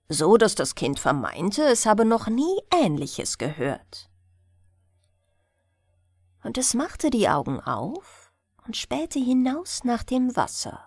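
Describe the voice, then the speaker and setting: A woman reading a bedtime fairy tale in a soothing, expressive storytelling manner. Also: a little high, vocal fry, slightly rough, dry recording, studio quality. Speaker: audiobook narrator.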